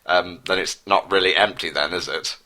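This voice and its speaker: A man narrates with mock seriousness. His voice sits fairly low with a bright, forceful edge. He reads like the co-host of a comic dramatic reading. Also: fairly even intonation, moderate pace. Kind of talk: comedic dramatic story narration.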